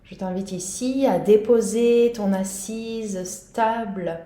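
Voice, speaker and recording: A woman guiding a yoga nidra meditation in a calm, gentle, soothing manner. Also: medium pitch, takes it slowly, roomy sound. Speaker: meditation guide.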